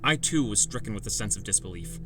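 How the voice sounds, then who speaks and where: A man's voice: on the low side, animated, quick pace. A man narrating a first-person fantasy audiobook as a scripted story. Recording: loud music bed.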